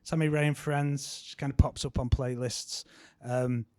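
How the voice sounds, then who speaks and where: A man's voice: mid-range voice, little pitch movement, a little slow, a little mellow, smooth. Rambling man talking music on a panel. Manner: rambling, many fillers and restarts. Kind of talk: casual panel talk. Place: panel event in a city venue.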